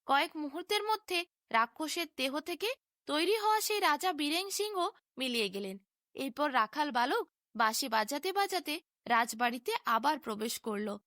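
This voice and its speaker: A woman's voice: sits high, expressive, normal speed, a bit bright, no echo, studio quality. A woman narrating a scripted bedtime fairy tale in a dramatic storytelling manner.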